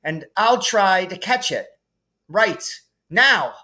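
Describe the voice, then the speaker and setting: A man's voice: high-pitched, expressive, takes it slowly, pushed and bright, pitched above the performer's own voice, exaggerated voice. A man voicing an eager, urgent boy hero who calls out short orders in a storybook reading. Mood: urgent, calling out.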